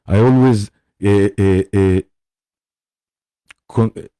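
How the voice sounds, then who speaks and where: Slow, soft-spoken Israeli man. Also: lowish voice, smooth, no echo, clean studio sound. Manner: halting, gentle, often checks in with "okay?". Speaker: spiritual teacher hosting a live session. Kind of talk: live-streamed spiritual talk.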